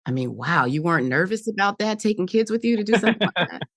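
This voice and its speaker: A woman's voice: mid-range voice, animated, fast talker, no room sound, clean studio sound. A woman hosting a podcast interview, upbeat and enthusiastic with her guest. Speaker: podcast host.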